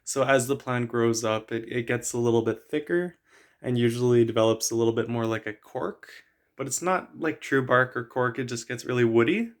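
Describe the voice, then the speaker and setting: Casting: slightly hoarse, eager man showing off a plant to camera, mid-pitched, many fillers. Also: moderate pace. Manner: chatty, enthusiastic, frequent "uh" fillers. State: losing his voice. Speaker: plant vlogger. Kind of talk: casual show-and-tell.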